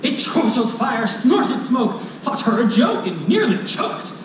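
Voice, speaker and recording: A distant, echoing live recording of a storyteller reading rhymes for children. The delivery is slow, playful and theatrical, with big swoops in pitch. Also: sing-song. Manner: playful, dramatic, drawn-out delivery with asides. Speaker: storyteller performing a children's book. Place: live performance room at a convention. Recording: roomy, distant live hall recording with noise.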